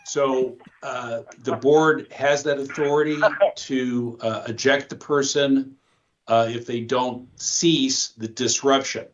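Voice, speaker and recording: Man, very slow delivery, deliberate and halting, formal board official, dull lo-fi relayed recording. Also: medium pitch, steady tone, a little hiss or hum. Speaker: official presiding over a board hearing. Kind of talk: formal procedural announcement. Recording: dull lo-fi audio played through a phone.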